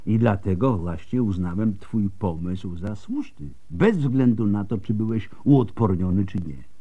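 A man's voice: fairly low pitch, unhurried, warm tone, highs cut off. An actor playing a shrewd, skeptical old male doctor in a radio drama. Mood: thoughtful, measured.

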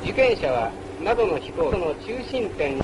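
A man's voice: high-pitched, very animated, unhurried, background noise. A man narrating a wartime military training film in a formal, instructional manner, on an old film soundtrack. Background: music.

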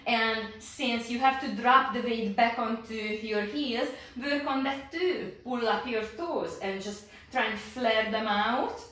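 A woman in her forties guides exercises in a steady, unhurried voice, pausing on fillers. Her voice bounces around a very echoey home studio and sounds far from the camera. Her pitch sits in a plain middle range. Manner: calm, unhurried cueing with many fillers. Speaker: pilates instructor.

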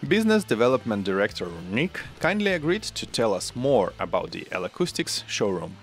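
A man's voice: mid-range voice, sing-song, a little fast, faint music behind. A middle-aged man hosting a tech channel, reading scripted narration into a close desk microphone. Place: small home studio room. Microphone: close desk microphone on arm.

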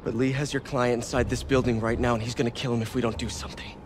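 An actor with an American accent playing an earnest, guilt-ridden young superhero in a video game, speaking conversationally. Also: male voice, mid-range voice, level tone, fast talker, background noise, natural voice. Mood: earnest, tense. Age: young adult. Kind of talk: cinematic video game acting.

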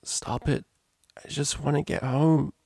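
A man's voice: medium pitch, very animated, measured pace, darkish tone, no echo, studio quality, voice pushed up. A man voicing a drunk, affectionate female unicorn DJ pony in a storybook reading, rambling and hesitant in informal speech. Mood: panicked.

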